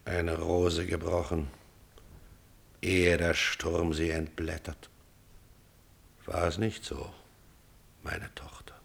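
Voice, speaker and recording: An actor playing a stern, anguished older father in a classical radio tragedy, declaiming weightily with a husky, gravelly voice. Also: sits low, flat delivery, slow pace, long pauses, full character voice. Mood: grief-stricken. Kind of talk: classical German stage tragedy as radio drama.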